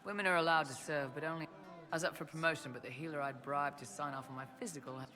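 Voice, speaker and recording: A man's voice: a little high, fairly even intonation, fast talker, sharp tone, faint music behind. An actor playing a blunt, loyal male mercenary lieutenant in a fantasy video game cutscene. He talks in plain, no-nonsense soldier language.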